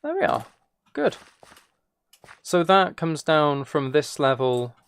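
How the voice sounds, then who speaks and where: A man's voice: on the high side, sing-song, takes it slowly, fairly bright tone, smooth. A man chatting casually while he plays a game, thinking aloud in a rambling, playfully self-mocking way. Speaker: streamer.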